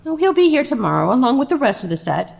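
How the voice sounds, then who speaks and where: A woman's voice: sits high, big pitch swings, fast, vocal fry, a little mellow, full character voice. A woman playing an encouraging, focused drama director in audio drama dialogue. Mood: encouraging, brisk.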